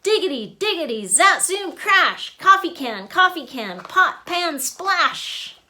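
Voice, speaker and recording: A woman with a high, bright voice reads a children's picture book aloud. Her pitch swings in a lively way, and she gives the rhymes and sound words expressive emphasis. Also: takes it slowly. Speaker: author and illustrator reading own book.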